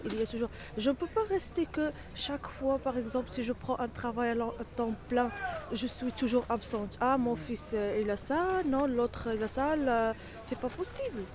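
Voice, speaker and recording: A middle-aged woman, a native Arabic speaker, answering interview questions haltingly in non-native French, searching for words. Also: a little high. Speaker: interviewee, home care worker.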